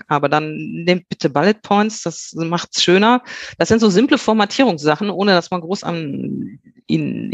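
Male copywriting expert, higher register, dry close sound, direct and blunt. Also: no echo, dull audio. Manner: direct, punchy, many filler sounds. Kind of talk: advice to listeners in a podcast.

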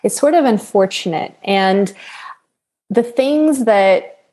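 Woman, language trainer, relaxed and chatty, mid-pitched. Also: takes it slowly. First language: English. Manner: chatty, with many fillers. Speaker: business English trainer. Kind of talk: conversational interview answers.

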